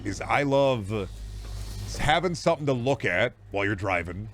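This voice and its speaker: A man's voice: mid-range voice, expressive, normal speed, fairly bright tone, a little hiss or hum. A man from Iowa with an American accent chatting casually on a livestream, rambling and sardonic, repeating words for emphasis. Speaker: game streamer.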